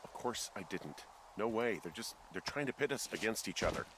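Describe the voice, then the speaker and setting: Low-voiced, wary American man. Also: animated, brisk, heavy background noise. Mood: earnest, wary. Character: wary, steady, dryly sardonic male fire lookout. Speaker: fire lookout. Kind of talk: naturalistic game dialogue.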